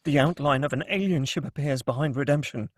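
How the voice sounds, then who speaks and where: A man's voice: medium pitch, brisk, slight background noise. A man narrating the stage directions at a screenplay table read, with wry asides.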